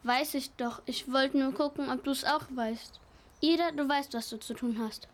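Female player as cheeky, high-pitched young sibling. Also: fairly even intonation, moderate pace, lightly acted. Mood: cheeky, plotting. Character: young child Micha, cheeky, eager plotting sibling. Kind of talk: children's radio play acting.

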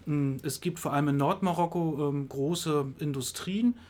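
A man's voice: on the high side, moderate pace, a little mellow. A middle-aged man from Greifswald answering interview questions in a radio studio, hesitant with many fillers. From Greifswald, Germany. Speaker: interview guest, travel website operator.

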